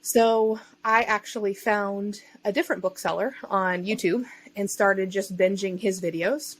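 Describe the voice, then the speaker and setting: A woman's voice: medium pitch, steady tone, unhurried. Female podcast guest, mid-range voice, informal and chatty, casual storyteller who interjects as she goes. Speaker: self-employed digital marketer and online bookseller.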